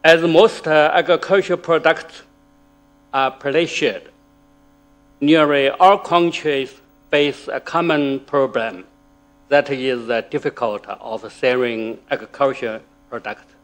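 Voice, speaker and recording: A man whose first language is Chinese, giving a formal academic talk in a lecture hall, slow and halting with pauses and fillers. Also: medium pitch, a bit bright. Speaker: conference speaker.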